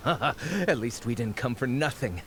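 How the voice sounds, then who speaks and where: A man's voice: medium pitch, expressive, takes it slowly, fairly bright tone. An actor playing a shifty, greedy archaeology researcher in a video game, wheedling and theatrical as he justifies himself. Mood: gleeful, greedy. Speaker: game character. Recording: game music under the voice.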